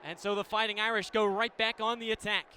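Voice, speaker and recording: An American man doing live radio play-by-play of a game in clipped descriptive phrases, ready to burst into excited calls. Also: sits high, fast. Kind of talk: live sports play-by-play commentary. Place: indoor stadium broadcast position. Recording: live radio with steady venue noise.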